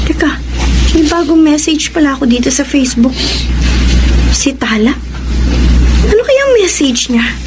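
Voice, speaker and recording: A woman's voice: on the high side, noisy background. A woman acting an anxious, emotional, guilt-ridden woman in a Filipino radio drama. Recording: music behind the voice.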